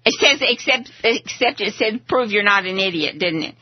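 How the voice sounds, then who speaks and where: A woman's voice: mid-range voice, expressive, normal speed. A woman hosting a live political talk radio show, talking to guests and listeners. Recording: dull lo-fi radio audio.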